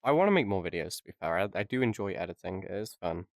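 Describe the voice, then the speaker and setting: A man's voice: low voice, sing-song, quick pace, gravelly, no room sound, clean studio sound. A man co-hosting a casual unscripted podcast, joking and swearing as he rambles along. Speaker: podcast co-host and video editor.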